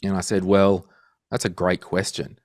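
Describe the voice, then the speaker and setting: Guy in his 30s, very low voice, lively storyteller, dry close sound. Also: moderate pace, dry recording. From Australia. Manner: animated storyteller, acts out quotes. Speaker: guest financial podcaster. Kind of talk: casual interview chat.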